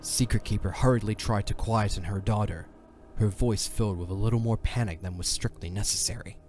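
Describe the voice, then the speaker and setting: A man reads scripted fantasy narration in a fairly low voice. The delivery is solemn and dramatic, like that of a storyteller, with faint music underneath. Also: steady tone, brisk, darkish tone.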